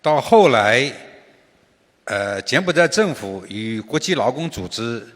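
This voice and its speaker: An older man, a native Chinese speaker, answers panel questions slowly and haltingly. His pitch moves around a lot. His voice rings out in a reverberant conference hall. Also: very animated, fairly bright tone. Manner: slow and halting, with many fillers. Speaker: industry association chairman.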